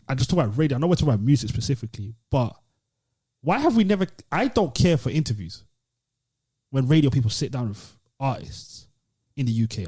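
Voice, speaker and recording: A man's voice: medium pitch, mellow, slightly rough. A British man co-hosting a podcast, chatting informally in slangy, opinionated style. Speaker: podcast host. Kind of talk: casual chat.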